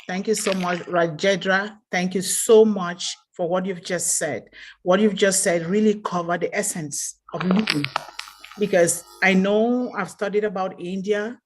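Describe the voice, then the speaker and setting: A woman's voice: medium pitch, slight background noise. A woman from Cameroon speaking passionately and emphatically on an online call. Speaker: participant. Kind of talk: open mic speech.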